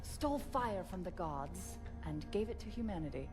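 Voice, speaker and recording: A woman's voice: on the low side, lively intonation, moderate pace, over music, natural voice. A woman playing a coolly curious, imperious older scientist in a video game, speaking precisely and with command. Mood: cool curiosity. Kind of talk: cinematic video game acting. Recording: game soundtrack behind voice.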